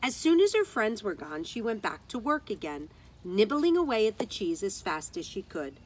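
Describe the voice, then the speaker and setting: A woman's voice: medium pitch, sing-song, normal speed, a little hiss or hum, low-quality recording. A woman teacher reading a children's story aloud outdoors, expressively, with dramatic emphasis on key words.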